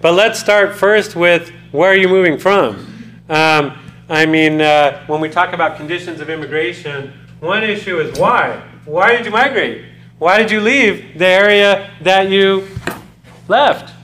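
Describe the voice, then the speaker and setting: Conversational male lecturer, slightly high voice. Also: unhurried, a bit bright. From United States. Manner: conversational, poses questions to students, loose with fillers. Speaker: college lecturer. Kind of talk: classroom lecture. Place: classroom.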